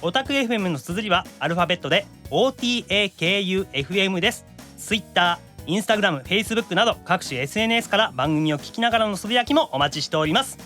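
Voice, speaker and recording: A man with a Kansai accent hosting a casual podcast, teasing his co-presenter and quick with comebacks. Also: high-pitched, expressive, bright, forceful tone, faint music behind. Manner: teasing, quick to quip back. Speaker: radio show host.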